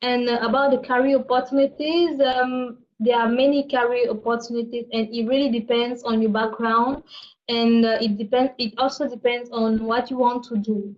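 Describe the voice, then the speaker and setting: Flat-toned woman from Cameroon. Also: a little high, moderate pace. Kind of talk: webinar testimony. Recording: dull lo-fi sound.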